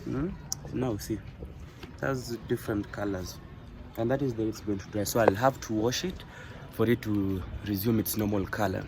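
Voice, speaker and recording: A Kenyan man hosting a casual vlog, switching between English and Swahili with halting, drawn-out phrasing, outdoor noise around him. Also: lowish voice, steady tone. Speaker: vlog host.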